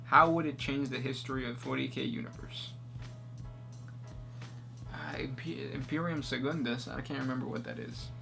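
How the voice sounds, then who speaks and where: Gravelly man reading fan questions. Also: medium pitch, fairly even intonation, normal speed, long pauses, music in the background. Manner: easygoing, hesitant fillers. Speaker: podcast co-host reading questions.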